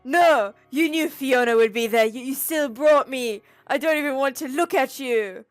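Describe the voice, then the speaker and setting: A woman voicing a grumpy, angsty male ogre who yells in loud exasperation. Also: sits high, moderate pace, dry recording, soft background music, voice pushed up, natural voice. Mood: exasperated.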